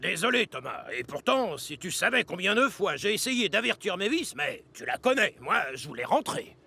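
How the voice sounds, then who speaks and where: A man voicing a sly, wheedling diesel locomotive in a French cartoon dub, raspy and oily in manner. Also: sits high, big pitch swings, normal speed, sharp tone, full character voice. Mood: falsely sorry.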